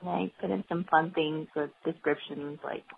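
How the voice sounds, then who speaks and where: A woman's voice: deep voice, fairly even intonation, normal speed, vocal fry, slight background noise. A woman calling in with an eager, running-on description of a gift she made. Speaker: caller. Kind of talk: phone-in message. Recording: phone line.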